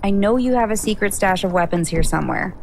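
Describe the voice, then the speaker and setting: A woman's voice: medium pitch, fast, gravelly, slight background noise, lightly acted. A woman playing a curious, casual young woman in an audio drama, with an informal, easygoing way of talking. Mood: curious, eager.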